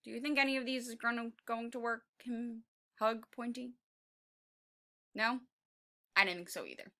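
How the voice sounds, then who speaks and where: A woman reading a story aloud as a teacher, expressive and encouraging, pausing to put questions to the children. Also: a little high. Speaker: second grade teacher.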